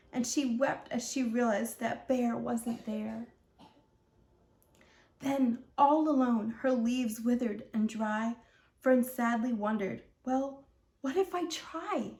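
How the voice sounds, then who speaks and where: A woman's voice: fairly high pitch, darkish tone, echoey room. A woman reading a rhyming children's story aloud as the host of a read-aloud.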